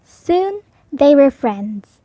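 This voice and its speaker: A woman reads a children's storybook in a high, very animated voice. She takes her time with each phrase. The recording is dry and a little dull. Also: extremely slow, no room sound. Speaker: storybook read-aloud narrator. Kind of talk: slow children's storybook narration.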